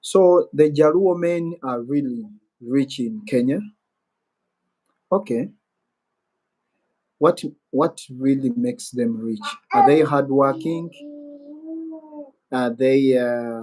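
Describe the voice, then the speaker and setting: Man, Ugandan accent, unhurried, mid-pitched, easygoing live-stream chat, rambling. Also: warm tone, poor audio. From Western Uganda. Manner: rambling, muses aloud and reads viewer comments. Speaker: YouTuber hosting a live Q&A.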